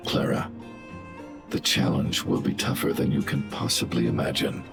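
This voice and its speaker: An actor voicing a huge, protective robot guardian in a video game, speaking in a calm, formal, emotionless and logical way. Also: male voice, extremely low pitch, gravelly, full character voice. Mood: grave, clinical. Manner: measured, formal, emotionless machine-like delivery. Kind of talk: scripted video game dialogue. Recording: game music behind.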